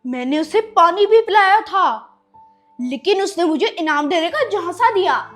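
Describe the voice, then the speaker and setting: A performer playing a cheeky, quick-witted young boy, playful and bold, in an acted moral-story skit. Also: extremely high pitch, animated, a bit bright, faint music behind. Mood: urgent.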